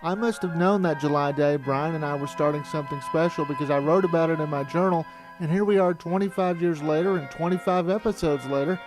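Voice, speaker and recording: A man's voice: on the high side, fairly quick, over music, rough recording. A middle-aged American man narrating a personal documentary from a script. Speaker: narrator and filmmaker.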